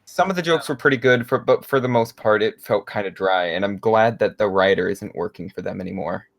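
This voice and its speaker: A man's voice: lowish voice, brisk, gravelly. A young man who is a guest on a casual gaming podcast, easygoing and laid-back.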